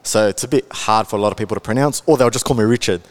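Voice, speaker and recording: A man's voice: fairly low pitch, racing. A man in his twenties with an Australian accent, chatting casually as a podcast guest, laid-back and full of fillers. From Melbourne, Australia. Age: 20s. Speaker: guest, content creator.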